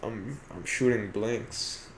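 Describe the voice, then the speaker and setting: A man with a slightly gravelly voice co-hosting a podcast, chatting casually about films and games. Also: fairly low pitch, slow, background noise. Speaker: podcast co-host.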